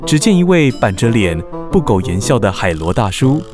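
A man narrating a children's audio drama as an expressive storyteller. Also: mid-range voice, moderate pace, over music, close to an everyday voice. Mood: dramatic, concerned.

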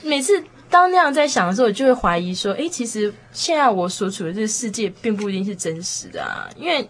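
A young woman speaks Taiwanese Mandarin as a guest in a reflective discussion. Her mid-pitched voice has a soft huskiness, and she feels her way through her sentences tentatively. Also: breathy edge. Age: young adult.

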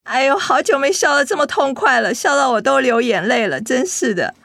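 An actress playing a gentle, guilt-ridden young woman in a Mandarin radio drama, apologetic and hesitant, with a Taiwanese accent. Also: high voice, expressive, fairly quick, vocal fry, no room sound, studio quality, full character voice. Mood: rueful amusement.

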